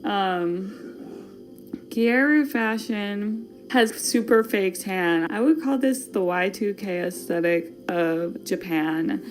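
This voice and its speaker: A woman's voice: medium pitch, slow, faint music behind. A woman with a Wisconsin accent hosting a casual podcast, talking in a playful, jokey, chatty way. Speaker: podcast host. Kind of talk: casual fashion podcast chat.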